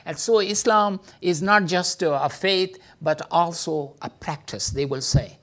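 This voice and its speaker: Hesitant man giving a teaching talk. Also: unhurried. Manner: hesitant, many filler sounds, lively pitch jumps; non-native English phrasing. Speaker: speaker teaching about Islam.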